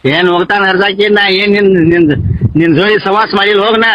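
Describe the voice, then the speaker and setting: A man's voice: high-pitched, fairly even intonation, fairly quick, no room sound. A man with a North Karnataka accent arguing on a phone call, stammering and repeating himself. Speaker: prank call participant. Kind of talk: heated phone argument in village dialect. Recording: dull lo-fi phone call recording.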